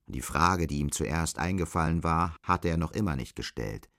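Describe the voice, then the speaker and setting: A man's voice: very deep voice, lively intonation, normal speed, fairly bright tone, no room sound, clean studio sound. A man narrating an audio drama in scripted literary prose.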